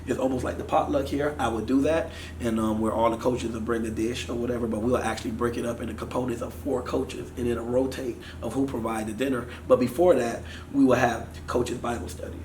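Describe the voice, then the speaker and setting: A man in his thirties from Miami, a football coach talking in a casual interview, animated. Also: medium pitch, brisk. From Miami, Florida. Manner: animated, gestures while talking. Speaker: football offensive coordinator. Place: studio set with sponsor backdrop. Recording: echoey room with faint noise.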